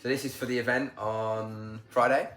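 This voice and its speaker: A man's voice: medium pitch, steady tone, moderate pace, fairly bright tone. A man, chatting casually in a vlog, a bit congested from a sore throat, upbeat and rambling. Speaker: vlogger.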